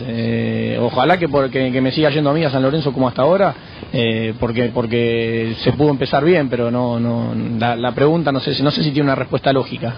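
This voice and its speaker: Argentine man answering, slightly muffled radio. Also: mid-range voice. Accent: Argentine Spanish. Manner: measured, frequent hesitations and restarts. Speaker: footballer guest. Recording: muffled radio broadcast with faint noise.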